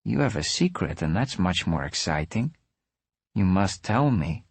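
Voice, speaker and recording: A man voicing a playful, flirtatious woman zombie hunter with a breathy Czech accent, in an audiobook. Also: sits low, sing-song, a little slow, no room sound, heavily acted. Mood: coaxing. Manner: playful, teasing.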